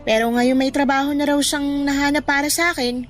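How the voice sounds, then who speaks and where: A woman's voice: high-pitched, fairly even intonation, a little fast, faint music behind, lightly acted. A woman playing a young best friend in a radio drama. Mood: troubled. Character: playful, teasing young woman, boy's childhood best friend.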